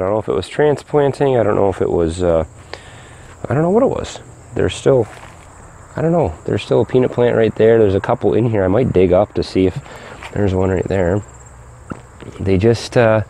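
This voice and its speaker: Low-voiced middle-aged man, easygoing outdoor garden vlog on a handheld camera, crickets in the background. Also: dark tone. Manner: rambling, easygoing, many fillers and restarts. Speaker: gardening vlogger. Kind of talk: casual walking garden tour. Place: backyard vegetable garden outdoors. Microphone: handheld camera mic. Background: crickets and insects.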